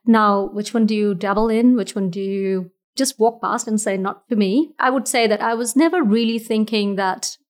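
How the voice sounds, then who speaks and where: A woman's voice: medium pitch, moderate pace, hardly any pauses. A woman from Fiji, a business founder, talking earnestly and reflectively in a casual interview, often restarting her sentences. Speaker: entrepreneur guest.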